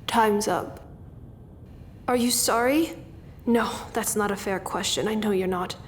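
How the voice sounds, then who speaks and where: Audio drama female lead, acted: solemn, hesitant arbiter, unhurried speech over faint noise. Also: medium pitch, close to an everyday voice. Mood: grave, hesitant. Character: grave, hesitant woman judging a killer's appeal.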